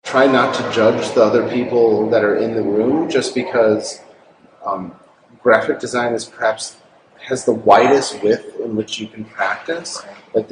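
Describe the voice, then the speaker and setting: A man speaking casually about his design work in a loose, rambling way full of fillers. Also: fairly low pitch. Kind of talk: casual interview reflection. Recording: roomy with audible noise.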